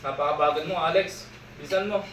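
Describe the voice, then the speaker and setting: A man playing a gruff, domineering father in an amateur student stage play, giving orders. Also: a little high, steady tone, fast talker, a bit bright, natural voice. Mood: impatient, bossy. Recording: very noisy, echoey, distant stage recording.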